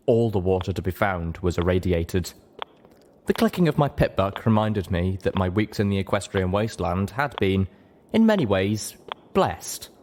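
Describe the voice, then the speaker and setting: A man's voice: on the low side, a little mellow, slight background noise. A man reading first-person fiction aloud as a dramatic story narrator. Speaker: story reader.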